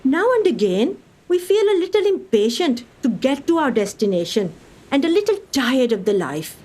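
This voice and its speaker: A cheerful, self-reliant Indian lady traveller from the 1920s in a radio play. Her voice is fairly high with a husky grain, and she tells her story genteelly with very lively intonation. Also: normal speed. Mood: wistful, contented. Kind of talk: radio play monologue, recounting a voyage.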